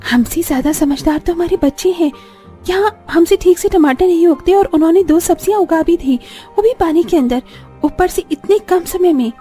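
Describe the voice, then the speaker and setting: A woman acts out a hardworking young village daughter-in-law from a cartoon. The voice is strikingly high and earnest, with music playing underneath. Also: moderate pace, warm tone. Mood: earnest, hopeful. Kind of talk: cartoon story dialogue.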